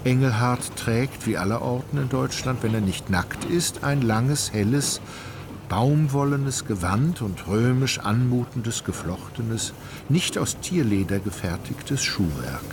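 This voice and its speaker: An actor narrating a literary radio play as an ironic, all-knowing storyteller, measured and ornate in his delivery. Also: medium pitch, expressive, noisy background. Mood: wry, measured. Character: wry, omniscient, ironic male storyteller. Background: music.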